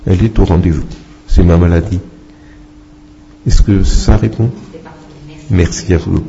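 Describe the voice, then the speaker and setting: A man speaking off the cuff in a halting, opinionated way as a doctor answering questions at a public talk. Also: sits low, fairly even intonation, creaky, warm tone. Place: public meeting with microphone. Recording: muffled, noisy public-event recording.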